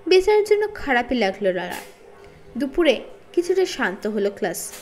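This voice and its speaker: A woman reading a children's story aloud in an expressive, dramatized narrating style. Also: fairly high pitch, normal speed. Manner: dramatized, acting out dialogue. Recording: faint music bed. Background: soft background music.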